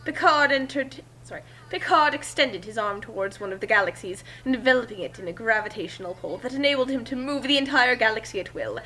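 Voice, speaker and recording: Bright, higher-pitched woman reading dramatically. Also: animated, brisk, few pauses, rough recording. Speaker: narrator reading a story aloud. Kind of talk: dramatic story reading with casual commentary.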